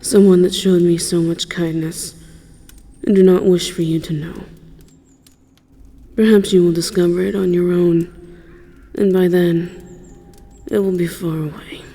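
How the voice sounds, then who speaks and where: A performer voicing a remorseful dragon in a dramatic audio roleplay, speaking in a breathy, husky voice, haltingly and solemnly. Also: mid-range voice, level tone, dark tone. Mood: resigned, sorrowful. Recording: long cavernous reverb.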